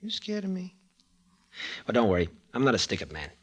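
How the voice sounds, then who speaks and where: An actor playing a slippery, charming young racetrack tout with slangy, evasive talk in an old-time radio drama. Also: male voice, mid-range voice, wildly swooping pitch, a little fast, a bit bright, breathy edge, exaggerated voice. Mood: guarded. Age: young adult.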